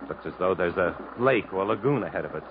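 Low-voiced, resolute jungle adventurer. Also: male voice, animated, quick pace, background noise. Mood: urgent, commanding. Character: resolute adventurer hero fleeing through jungle, also narrating. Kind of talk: 1950s radio drama acting and dramatic narration. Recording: muffled old radio broadcast with music.

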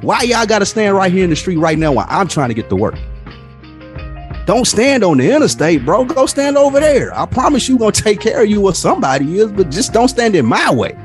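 A man's voice: sits high, very animated, fairly quick. Emphatic American man arguing, faint music behind. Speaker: podcast host. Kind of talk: casual opinionated debate.

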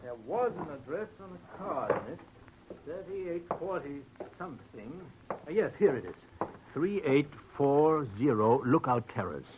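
An actor playing an earnest, anxious nursing-home doctor, formal and precise, in a 1940s radio drama with old-recording noise. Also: male voice, on the high side, sing-song, a little slow, darkish tone, poor audio. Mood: anxious, pleading. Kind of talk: 1940s radio detective acting.

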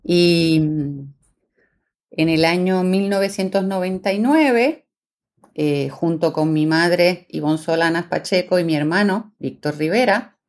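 Woman, middle-aged, from Argentina and Puerto Rico, low pitch, conversational interview guest. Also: measured pace, darkish tone. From born in Argentina, long settled in Puerto Rico. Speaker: podcast guest, foundation executive director.

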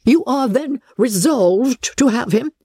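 A woman's voice: fairly high pitch, animated, takes it slowly, mellow, breathy edge, no room sound, clean studio sound, voice pushed up, exaggerated voice. A woman voicing a haughty, incensed older noblewoman in a dramatic novel reading, imperious and declamatory. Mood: incensed, accusing.

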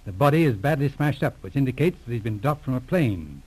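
An actor playing a businesslike police inspector in a 1940s radio drama, courteous, calm and matter-of-fact. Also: male voice, mid-range voice, fast, breathy edge. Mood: deliberating. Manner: matter-of-fact, clipped questioning.

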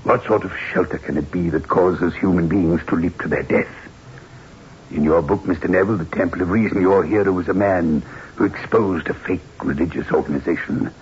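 An actor playing a grave, haunted widower in a radio drama, formal and measured, with a foreboding manner. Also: male voice, sits low, lightly acted. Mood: grave warning. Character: grave, haunted widower, male landowner of modest means. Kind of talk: 1960s radio drama acting. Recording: muffled, hissy old radio recording.

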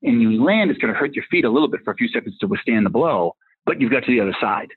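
A man's voice: medium pitch, lively intonation, very fast, slightly rough. A man in his fifties with a Massachusetts accent, a tech executive telling his career story in a rambling, casual way that drifts into little acted-out bits of dialogue. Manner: rambling, acts out dialogue in anecdotes. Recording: phone-like narrow line.

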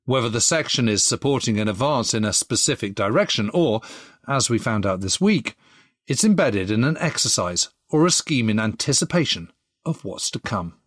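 A man's voice: mid-range voice, animated, moderate pace, fairly bright tone, no room sound, studio quality. A man hosting a history series, reading scripted introductory narration in a presenter's manner. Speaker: podcast host and presenter.

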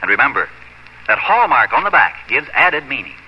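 American male announcer for 1950s radio sponsor spot, animated pitch, muffled old broadcast sound. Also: normal speed, slightly rough. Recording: dull vintage radio recording, faint noise.

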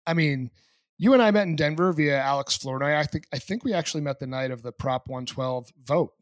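Male podcast host, light pitch, loose and conversational, dead-dry mic. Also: fairly quick, no echo. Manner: rambling, chatty questions. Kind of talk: casual interview.

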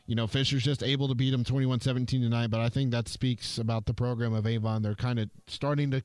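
A man giving measured, casual thoughts on the air as a radio guest. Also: medium pitch, fairly even intonation, a little mellow, clear, resonant tone, no echo. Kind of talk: radio chat.

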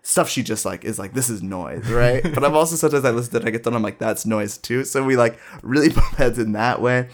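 A man's voice: medium pitch, a little fast, few pauses. Young man telling a rambling personal story. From Dayton, Ohio, US. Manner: rambling, lots of filler "like", self-deprecating. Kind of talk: casual conversational storytelling.

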